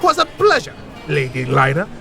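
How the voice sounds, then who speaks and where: A man's voice: on the high side, huge pitch swings, normal speed, gravelly, sharp tone, soft background music, full character voice. An actor playing a grumpy, indignant male artificer in a fantasy audio drama, blustery and full of old-fashioned slang. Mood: exasperated, offended.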